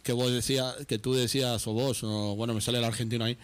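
A man co-hosts a late-night-style rock radio show in a dry studio. He talks in loose, rambling runs and breaks into laughter now and then. His voice sits at an ordinary middle pitch. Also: little pitch movement, fast talker, dry recording. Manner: rambling, laughing, peppers speech with "tío". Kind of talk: casual radio chat.

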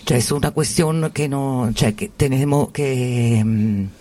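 Italian man speaking haltingly. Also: mid-range voice, warm tone. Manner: halting, searches for words, many fillers. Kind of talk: casual discussion.

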